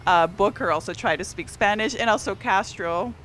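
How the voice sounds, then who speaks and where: A woman's voice: medium pitch, normal speed, fairly bright tone, slight background noise, low-quality recording. A woman whose first language is Portuguese, a newspaper columnist talking politics on a live stream in a chatty way, with fillers and restarts. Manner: conversational, fillers and restarts, rising energy on key points.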